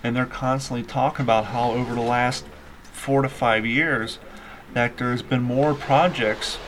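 A man's voice: mid-range voice, steady tone, a little slow, a bit bright, a little hiss or hum. A man interviewing two actors, giving long praise and rambling, talkative questions.

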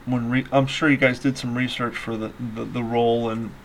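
A man's voice: medium pitch, flat delivery, brisk, a bit bright, slight background noise. A man interviewing two actors, giving long praise and rambling, talkative questions.

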